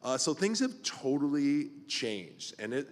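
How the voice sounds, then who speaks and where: A man in his fifties hosts a relaxed podcast talk as a pastor. He wanders through his thoughts in an easy, filler-heavy way, his voice sitting at an ordinary middle pitch. A long reverb trails behind him in the room. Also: a little slow. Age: 50s. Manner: rambling, loose, lots of fillers. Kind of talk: casual podcast conversation.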